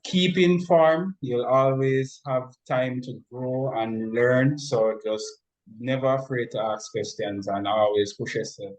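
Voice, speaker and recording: A man with a Jamaican accent talking as an interview guest, giving advice in a halting way with repeated words. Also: medium pitch, low-quality recording. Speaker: guest, music studio professional.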